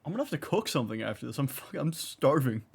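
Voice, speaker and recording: A woman's voice: extremely low pitch, very animated, fast talker, gravelly, a little mellow, slight background noise. A woman giving casual, offhand, wry commentary as she streams a game.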